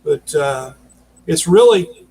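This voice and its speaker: A man explaining his work as an audio drama producer in a casual, halting way during an interview over a video call. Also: fairly high pitch, expressive, a little mellow, slightly rough, poor audio. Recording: noticeable background noise, video call.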